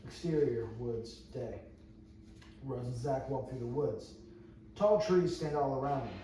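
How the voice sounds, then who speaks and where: A man's voice: mid-range voice, unhurried, mellow. Man narrating a script in an echoey room. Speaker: narrator reading stage directions. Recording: very echoey room, distant mic, noisy.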